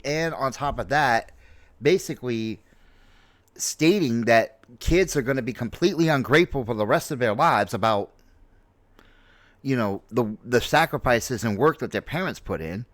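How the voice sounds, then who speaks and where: Slow-paced American man in his late forties. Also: medium pitch, a bit bright, no room sound. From New York City, United States. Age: late 40s. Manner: halting, reflective, repeats himself for emphasis. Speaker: streamer, content creator. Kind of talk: unscripted personal opinion monologue.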